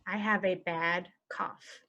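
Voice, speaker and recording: Woman doing an english lesson, says each line then repeats it real slow n clear. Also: fairly low pitch, fairly even intonation, pushed and bright. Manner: repeats each phrase slowly and clearly for learners. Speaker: English teacher. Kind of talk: slow phrase-by-phrase language lesson.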